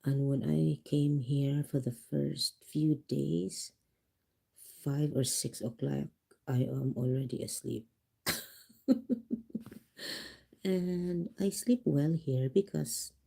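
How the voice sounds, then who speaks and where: A Filipino-accented livestream host talking slowly and softly from home. The voice is husky with a gravelly edge. The tone is mellow, easygoing chat with viewers. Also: medium pitch. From Philippines. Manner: speaking softly and quietly to avoid disturbing neighbors. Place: home, indoors.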